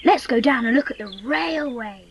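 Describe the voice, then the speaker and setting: Woman as a high-voiced, frank British schoolboy. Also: normal speed, a little hiss or hum. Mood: adventurous. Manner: matter-of-fact, polite, boyish. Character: frank, adventurous young English schoolboy. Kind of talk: dramatised storybook audiobook.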